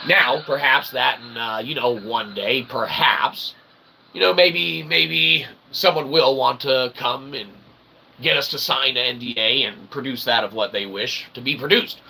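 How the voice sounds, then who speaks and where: A man's voice: medium pitch, measured pace, vocal fry, background noise. A man delivering a rambling philosophical monologue as a streamer, in wordy, pseudo-academic phrasing, emphatic and punctuated with check-in okays and the odd swear. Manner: verbose, pseudo-academic wording with tag okays and occasional swearing. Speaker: streamer, self-styled author and philosopher.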